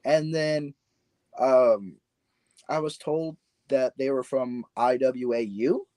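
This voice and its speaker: Male podcast guest, casual and rambling, mid-pitched, dry close sound. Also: fairly even intonation, takes it slowly, dark tone, no room sound. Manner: laid-back, rambling with repeated restarts. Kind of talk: casual chat.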